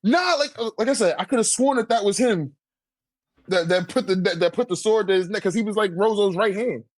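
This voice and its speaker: A man's voice: high voice, brisk, no echo. A man in a casual debate, speaking informally with heavy slang and swearing. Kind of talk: casual debate about manga character rankings.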